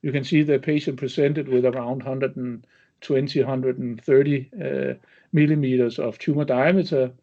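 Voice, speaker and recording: A male executive delivers a formal presentation of clinical results for an investor webinar. His voice sits at a medium pitch and his delivery is halting, broken up by frequent ums. The audio is dull and band-limited, as on an online call. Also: steady tone, dark tone. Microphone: webinar call connection.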